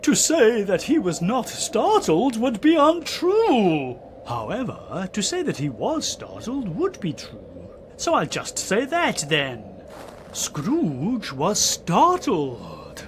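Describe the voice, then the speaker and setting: A man's voice: high voice, huge pitch swings, takes it slowly, slight background noise. A British man narrating a comic parody audiobook in a hammy, mock-spooky storytelling style, with muttered asides.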